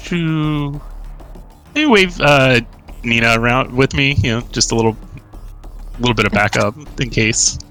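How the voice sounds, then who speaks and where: A man's voice: mid-range voice, a little slow, long pauses, sharp tone, soft background music. A man playing a tabletop game, talking hesitantly with frequent restarts. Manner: hesitant, lots of ums and restarts. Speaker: player. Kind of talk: casual tabletop roleplay.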